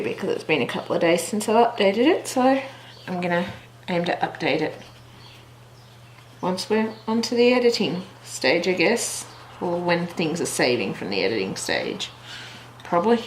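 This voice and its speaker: A woman's voice: on the low side, expressive, normal speed. A woman hosting a craft tutorial in a casual, chatty way, thinking aloud as she goes step by step. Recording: roomy echo, light background noise.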